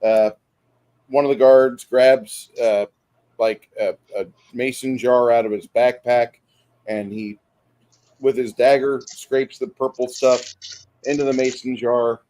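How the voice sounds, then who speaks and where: Man, game master, mid-range voice, improvised tabletop narration, halting descriptive delivery. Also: mid-range voice, level tone, dark tone.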